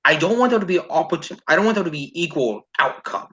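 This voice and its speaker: A man's voice: a little high, animated, fast talker, pushed and bright. A man giving blunt opinions in a casual discussion, repeating his points for emphasis. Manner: blunt, sweary, emphatic repetition.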